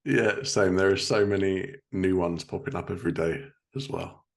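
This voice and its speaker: A man's voice: low voice, normal speed, creaky. A man speaking casually as a podcast host, chatting with a guest in an interview.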